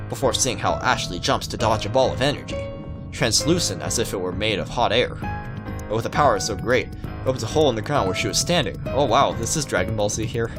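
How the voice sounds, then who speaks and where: A let's player reading a visual novel aloud dramatically with casual asides, stumbling over words and correcting themselves. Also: medium pitch.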